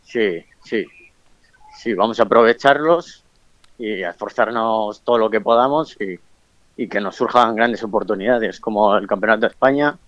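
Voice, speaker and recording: A man's voice: on the low side, moderate pace, fairly bright tone, no echo, dull audio. A man in his twenties from Colombia, speaking with a Bilbao accent, a professional boxer giving short, shy answers in an interview. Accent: Bilbao Spanish. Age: 20s.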